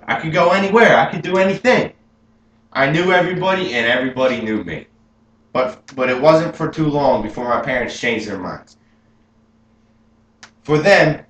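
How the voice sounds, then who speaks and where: A young man playing an adult gangster who proudly recalls his youth, telling it earnestly and rising with excitement, as a memorized film monologue. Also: medium pitch, voice pushed up. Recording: roomy room with faint hum.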